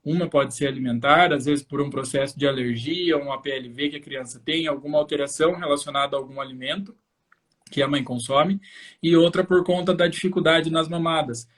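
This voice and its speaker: Higher-pitched Brazilian man explaining clearly to parents. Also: brisk, fairly bright tone. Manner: explanatory, fluent, addressing viewers directly. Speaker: host, infant osteopath. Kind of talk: live educational talk to parents.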